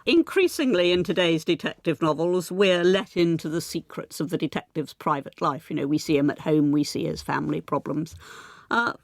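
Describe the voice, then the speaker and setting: A woman's voice: low voice, normal speed, dry recording, clean studio sound. A woman presenting and interviewing on radio, articulate and emphatic in her phrasing. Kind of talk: scripted narration and conversational interviewing.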